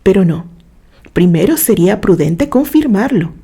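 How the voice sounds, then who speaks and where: A woman reading a literary story aloud in a dramatic, expressive way, with measured phrases and pauses. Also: medium pitch, breathy edge. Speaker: narrator reading a written story.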